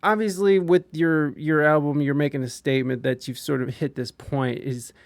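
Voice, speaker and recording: A man's voice: on the high side, steady tone, normal speed, vocal fry, no room sound. A young man hosting a casual podcast, talking loosely, swearing freely and getting emphatic. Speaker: podcast host.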